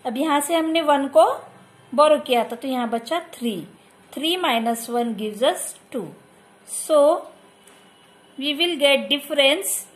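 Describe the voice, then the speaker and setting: A woman's voice: high voice, low-quality recording. A woman teacher with an Indian accent who explains a maths exercise step by step, mixing Hindi and English. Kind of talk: maths lesson over a workbook.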